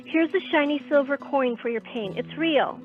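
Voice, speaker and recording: A woman's voice: sits high, normal speed, lightly acted. A woman playing a proud, wounded, genteel young lady in a radio play, with formal and precise wording. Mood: imperious. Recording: narrow phone-like sound, faint guitar music.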